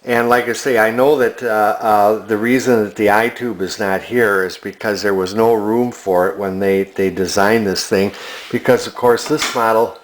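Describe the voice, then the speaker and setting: A man giving a casual, unscripted walk-through as a collector, talking in a halting, musing way. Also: on the low side, steady tone. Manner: hesitant, musing, halting delivery. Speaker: vintage electronics collector presenting a find.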